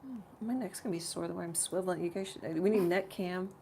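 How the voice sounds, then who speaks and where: A woman with a slightly rough voice hosting a casual live painting tutorial, thinking aloud in a rambling way as she works. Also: lowish voice, fast talker, darkish tone, slight background noise. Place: home art studio.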